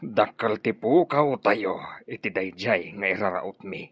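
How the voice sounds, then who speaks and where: A man's voice: lowish voice, animated, measured pace, a bit bright, slightly rough, dry recording, dull audio, full character voice. An actor playing a proud, embittered wounded warrior father in an Ilocano radio drama, with a grim, heavy delivery. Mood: grim, aggrieved.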